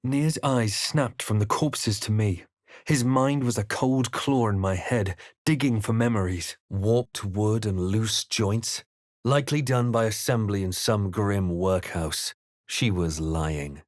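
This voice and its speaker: Casting: a grave, watchful male adventurer for a fantasy game, on the low side, storytelling with measured drama, recorded very dry. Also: no echo. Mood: grave, narrating. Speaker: video game player character voice actor. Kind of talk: scripted game narration and character lines.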